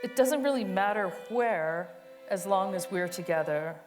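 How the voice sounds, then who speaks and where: A woman's voice: medium pitch, expressive, a little slow, soft background music. A woman giving a dramatic reading of an autobiographical monologue, with a tender, emphatic storytelling delivery. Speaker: co-reader of a monologue.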